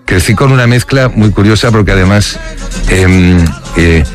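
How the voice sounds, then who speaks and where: A man's voice: on the low side, normal speed, over music. A man from Spain in his sixties, telling childhood memories in a casual, hesitant way with many restarts and fillers. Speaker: interviewee. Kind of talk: casual reminiscing.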